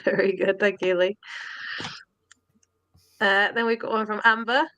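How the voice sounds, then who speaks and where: A woman's voice: medium pitch, steady tone, a little slow, pushed and bright, clear, resonant tone, no echo. A woman co-hosting a live astronomy chat, stuffy and nasal from a cold, laughing often between remarks. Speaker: co-host. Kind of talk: casual chat on a live stream.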